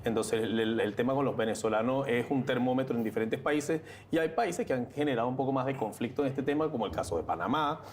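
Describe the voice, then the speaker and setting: A man's voice: mid-range voice, fast, hardly any pauses, slight background noise. A man hosting a televised interview and debate, framing questions in a conversational way and thinking aloud as he goes. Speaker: TV interview host.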